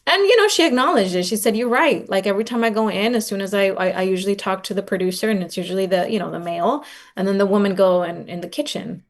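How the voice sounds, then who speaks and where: A woman's voice: medium pitch, fast talker. A woman giving informal, reflective interview answers as a podcast guest, often saying "like" and retelling exchanges in dialogue. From raised in Los Angeles, born in Oaxaca. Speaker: podcast guest, nonprofit director and advocate.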